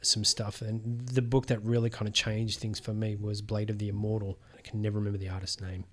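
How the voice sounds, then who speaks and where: A man's voice: lowish voice, little pitch movement, fairly quick, a bit bright. A man hosting a podcast in casual interview chat. He hesitates often, restarting phrases when he talks at length. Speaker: podcast host.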